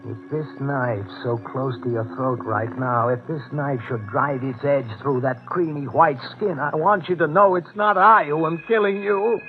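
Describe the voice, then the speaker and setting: A man's voice: fairly high pitch, natural voice. An actor playing a tormented escaped madman, menacing and pleading, in theatrical old-time radio horror style. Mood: quietly menacing. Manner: theatrical, intense, halting menace. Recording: tinny old radio recording with music.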